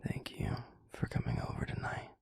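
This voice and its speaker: A man playing a grumpy, blunt but secretly affectionate werewolf boyfriend in an intimate audio roleplay, in a breathy, gravelly murmur with deadpan delivery. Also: a little fast, in a whisper, natural voice. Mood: sardonic teasing. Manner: deadpan, blunt, teasing grumbling. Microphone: close mic, dry.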